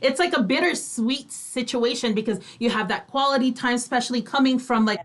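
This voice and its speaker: A woman's voice: fairly high pitch, brisk. A woman co-host chatting casually and agreeably in loose, conversational phrases. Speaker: show co-host. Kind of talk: casual chat.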